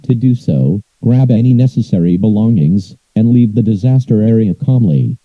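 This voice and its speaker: A man's voice: on the low side, animated, normal speed, dry recording, lo-fi sound. A man reading scripted emergency alerts as an announcer, in a formal, impersonal, synthetic-sounding delivery.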